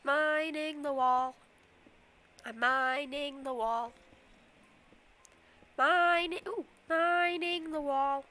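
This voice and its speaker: A woman's voice: sits high, fairly even intonation, drawn-out words, pauses often, bright, forceful tone. A young woman streaming a game from her bedroom, rambling casually and humming silly nonsense sounds, a little sniffly from a cold. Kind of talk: casual livestream commentary while playing.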